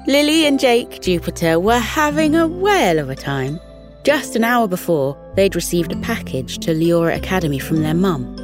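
A woman hosting and narrating a scripted children's bedtime story in an expressive, carefully enunciated way. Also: lowish voice, moderate pace, over music. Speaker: host and storyteller.

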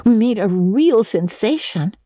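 A woman's voice: mid-range voice, expressive, measured pace, warm tone, no echo, studio quality, voice pushed up. Woman playing a dreamy, effusive storybook schoolgirl. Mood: thrilled. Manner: effusive, earnest, dramatic, breathy emphasis. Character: dreamy, talkative, romantic schoolgirl with vivid imagination. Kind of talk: dramatic audiobook reading of classic novel.

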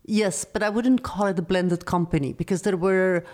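Woman, middle-aged, lowish voice, measured storytelling. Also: darkish tone. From Belgium. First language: not English. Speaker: guest, former studio executive. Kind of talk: interview conversation.

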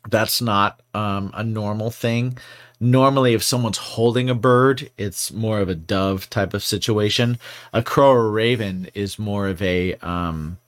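Guy on a podcast just rambling along, low voice, lots of ums. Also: unhurried. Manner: rambling, filler-heavy, playful storytelling. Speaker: podcast co-host. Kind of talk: casual chat.

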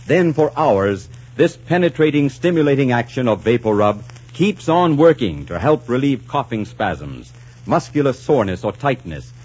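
Lively 1940s radio announcer man. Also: medium pitch, husky. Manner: polished, emphatic salesmanship. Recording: muffled old 1940s radio broadcast.

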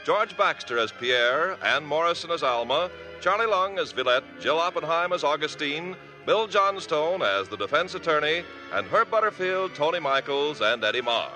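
A man announcing the cast credits and commercials on a 1950s radio show, with a smooth, persuasive delivery. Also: on the high side, animated, normal speed, few pauses, pushed and bright, faint music behind, poor audio.

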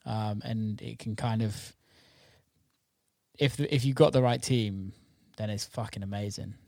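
A man's voice: lowish voice, fairly even intonation, unhurried, creaky, warm tone, dry recording. Casual, sweary man chatting, dry close sound. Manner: sweary, matey, informal. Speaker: interviewer and host. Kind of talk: casual podcast chat.

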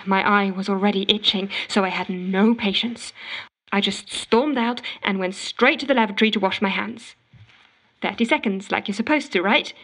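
A woman's voice: medium pitch, a bit bright. An actress playing an exasperated, frantic office worker, telling her woes melodramatically in an audio drama voicemail monologue. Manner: melodramatic, indignant storytelling with sarcastic quoting. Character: exasperated, frantic office woman ranting to a colleague.

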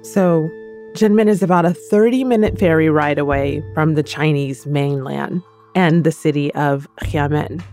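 A woman hosting a news podcast, narrating and asking her guests questions in a clear, conversational way. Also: sits low, darkish tone. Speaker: podcast host.

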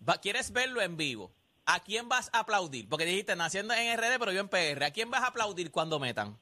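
Lively, forceful Puerto Rican male co-host. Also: high-pitched, brisk. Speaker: sports show co-host. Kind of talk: casual sports banter.

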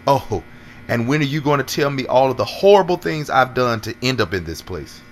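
A man playing an earnest, self-assured senator in an audio drama, smitten and quick to defend himself. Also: mid-range voice, lively intonation, a little hiss or hum, close to an everyday voice. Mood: defiant. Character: smitten, self-assured male senator fighting for common people.

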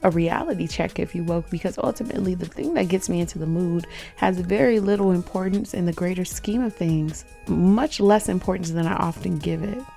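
A woman hosting her own faith podcast in a casual solo talk. She is hoarse and sounds upbeat and reflective, with frequent ums. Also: on the low side, a little fast, warm tone, faint music behind. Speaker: podcast host.

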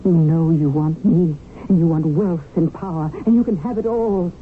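An actress playing a glamorous, greedy, seductive widow in a radio drama, coaxing and insistent. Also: female voice, deep voice, normal speed, phone-quality sound, close to an everyday voice. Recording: thin radio broadcast, faint hiss.

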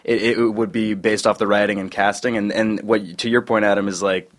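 A male podcast guest with a fairly low voice. He speaks in an informal, conversational manner as part of a group chat. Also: level tone, fairly quick. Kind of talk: casual chat.

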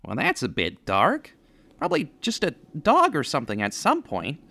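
Male actor as touchy, sardonic wisecracker for period radio play, wildly swooping intonation, bright edgy voice. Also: a little high, wildly swooping pitch, normal speed, creaky, exaggerated voice. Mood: casual, wry. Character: wary, wisecracking Italian-American man, quick to bristle. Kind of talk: 1930s radio drama acting. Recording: music bed under the voice.

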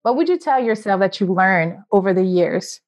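A woman's voice: medium pitch, animated, moderate pace. A woman hosting a podcast, asking long, rambling questions in a chatty way and laughing often, with a slightly dull sound. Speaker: podcast host. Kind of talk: casual interview hosting.

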